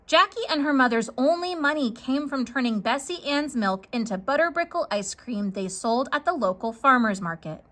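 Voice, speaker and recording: Animated, high-voiced woman storyteller. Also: moderate pace, few pauses. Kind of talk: animated scripted children's story narration.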